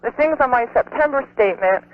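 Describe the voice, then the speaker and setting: A woman's voice: fairly high pitch, expressive, brisk, no room sound. A woman calling a radio show by telephone, methodically explaining her question. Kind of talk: explaining a personal question on air. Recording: dull telephone line on radio.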